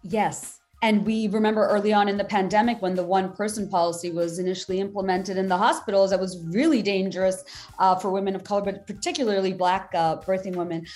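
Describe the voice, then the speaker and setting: A woman from Bangladesh hosting an interview, an author who talks in an animated, indignant way. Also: fast talker, soft background music. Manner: animated and indignant, pitch jumping when stirred. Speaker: podcast host and author. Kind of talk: conversational interview.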